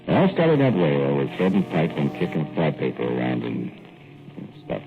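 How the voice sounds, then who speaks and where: A folksy small-town plumber on old radio. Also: male voice, extremely low pitch, very animated, normal speed, full character voice. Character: young male small-town plumber, easygoing and wry. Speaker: narrator and plumber. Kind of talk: 1940s radio drama acting. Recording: tinny old radio broadcast with faint music.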